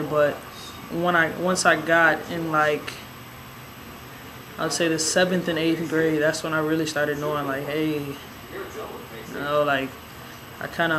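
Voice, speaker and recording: A young woman with a raspy, hoarse voice telling a personal story in a relaxed, rambling way with many fillers. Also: low voice, noisy background. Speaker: vlogger. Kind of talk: casual personal storytelling to camera.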